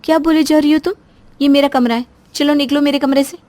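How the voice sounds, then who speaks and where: A woman's voice: high voice, fairly even intonation, brisk, no room sound, pitched above the performer's own voice, natural voice. A woman voicing a cunning young sister-in-law in a family story, irritable and falsely sweet. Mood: annoyed. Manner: cunning, mock-sweet, sharp.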